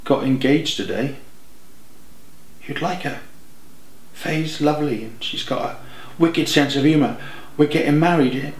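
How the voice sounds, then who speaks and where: A man voicing a regretful, emotional young dead man in an audiobook, speaking haltingly and correcting himself. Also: fairly high pitch, reverberant, voice pushed up, low-quality recording. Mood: wistful, fond. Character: regretful young dead man, tender son turning desperate.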